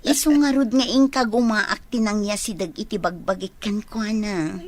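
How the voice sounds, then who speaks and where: A woman's voice: medium pitch, normal speed, no room sound, close to an everyday voice. A woman acting a calculating, inquisitive young village woman in a radio drama, with an Ilocano accent. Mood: questioning.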